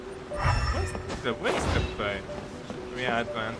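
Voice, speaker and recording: A man streaming a video game, casually commenting and reading dialogue aloud in a halting, sweary way. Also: mid-range voice, wildly swooping pitch, loud music behind the voice.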